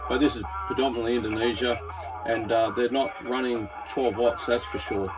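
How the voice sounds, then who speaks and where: A man's voice: medium pitch, fairly even intonation, normal speed, a crowd in the background, poor audio. An Australian man presenting a casual hobbyist product walkthrough in a laid-back, informal, slightly hesitant way.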